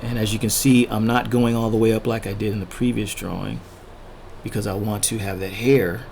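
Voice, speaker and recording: Male art instructor, low gravelly voice slightly tired from talking, informal think-aloud delivery over faint background noise. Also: little pitch movement, darkish tone. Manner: unhurried, thinking aloud in short fragments. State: losing his voice, throat-clearing. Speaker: art tutorial host, illustrator. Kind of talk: casual drawing tutorial narrated while sketching.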